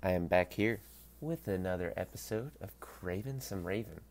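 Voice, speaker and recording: Low-voiced stuffy man rambling. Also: moderate pace, a little mellow. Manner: rambling, loses his thread and corrects himself mid-sentence. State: stuffy nose from allergies. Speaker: podcast host. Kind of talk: casual solo sports podcast.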